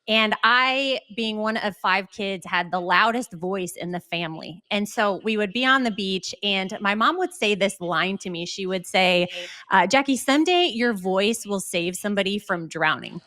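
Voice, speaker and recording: A woman guest on a podcast, speaking in an earnest, reflective storytelling manner as a coach and speaker. Her pitch is moderate and ordinary. The recording is close and dry. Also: animated, normal speed, sharp tone, no echo. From Michigan. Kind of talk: storytelling in an interview.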